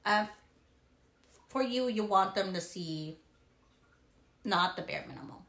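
A woman in her thirties co-hosting a podcast, talking slowly and searching for words with restarts. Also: mid-range voice, lively intonation, fairly bright tone. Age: 30s. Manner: slow, searching, piles up restarts. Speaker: podcast co-host. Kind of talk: casual chat. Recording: echoey room.

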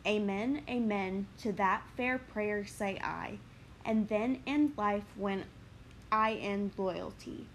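A woman voicing an ardent young man in love, reading verse in a slow, earnest way. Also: medium pitch, slight background noise, poor audio. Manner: earnest, measured verse delivery. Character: ardent young man, lovestruck and smooth-talking.

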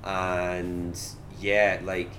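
A man's voice: deep voice, extremely slow. A man with a Scottish accent, chatting casually and animatedly as a whisky brand ambassador in an interview. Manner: chatty and animated, many "like" fillers. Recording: noisy background.

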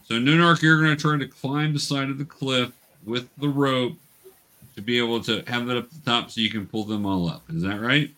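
A man's voice: medium pitch, steady tone, moderate pace, pushed and bright. Male game master, improvised narration, patient explainer who encourages the players.

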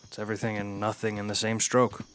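An actor playing a calm, philosophical, long-lived gentleman in a radio drama, speaking in formal, measured phrases. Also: on the low side, slight background noise, close to an everyday voice. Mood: reflective. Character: eloquent, philosophical ageless gentleman, calm and earnest.

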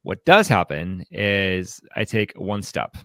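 Male podcast co-host, low register, reflective and halting book discussion, very dry recording. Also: big pitch swings, a bit bright, dry recording. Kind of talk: conversational book commentary.

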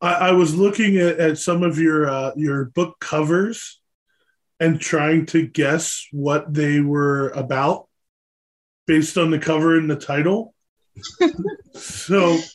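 Higher-voiced American man, casual interviewer. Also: slow pace. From Pennsylvania, US. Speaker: interviewer, co-host.

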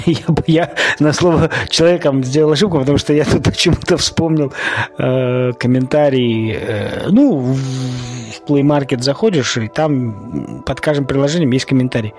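A male podcast host speaks off the cuff at a middling pitch, arguing his opinions through rhetorical questions. His phrasing is colloquial and halting, with repeated words, and music plays behind him. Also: darkish tone. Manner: argumentative, poses rhetorical questions. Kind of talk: informal opinionated solo monologue.

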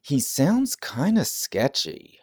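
A man's voice: fairly high pitch, huge pitch swings, slow, slightly rough, no echo, clean studio sound, full character voice. An actor playing an anxious, devoted male secretary in a campy audio drama, deferential and earnest. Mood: fretful.

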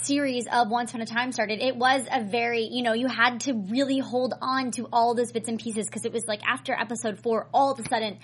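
A woman's voice: on the high side, fairly even intonation, a little fast, few pauses. A woman hosting an after-show, chatting casually and animatedly with a panel and viewers. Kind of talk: casual fan discussion.

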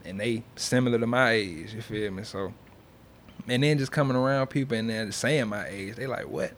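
A man's voice: medium pitch, moderate pace, a little hiss or hum. A young man talking as a music artist being interviewed, in a loose, slangy, casual way. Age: young adult. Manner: slangy, loose, peppered with check-in phrases.

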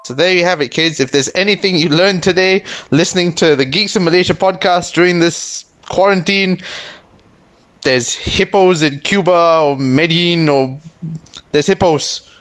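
A man co-hosting a podcast in casual chat, animated and laughing often. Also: a little high, normal speed. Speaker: podcast co-host.

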